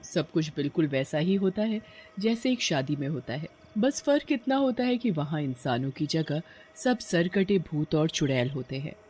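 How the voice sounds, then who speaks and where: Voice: mid-range voice, sing-song, normal speed, a little mellow, slight background noise. A narrator reading a scripted horror story in a dramatic storytelling style.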